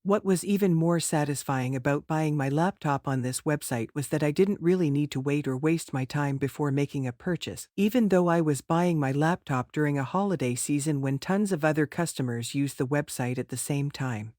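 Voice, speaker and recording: Measured man giving rehearsed test answers. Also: fairly high pitch, darkish tone, dry recording, clean studio sound. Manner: formal, rehearsed, measured delivery. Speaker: test candidate. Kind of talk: scripted mock speaking-test answers.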